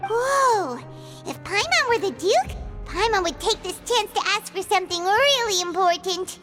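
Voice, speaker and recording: A woman voicing a tiny, excitable, bubbly childlike sidekick in a video game, with a squeaky voice. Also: unhurried, heavily acted. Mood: excited. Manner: speaks of herself in third person, bubbly. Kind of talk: scripted video game acting. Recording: background music under the voice.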